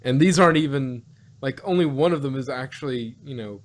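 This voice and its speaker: A man reviewing in a casual podcast conversation, talking in a halting, animated, argumentative way and often repeating himself. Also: mid-range voice, slight background noise. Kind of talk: casual review chat about an audio drama.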